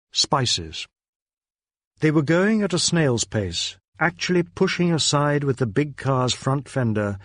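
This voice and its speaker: A man reading a detective novel as a narrator, in a measured, deliberate storytelling manner with careful phrasing. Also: mid-range voice, no room sound, clean studio sound. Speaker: audiobook narrator.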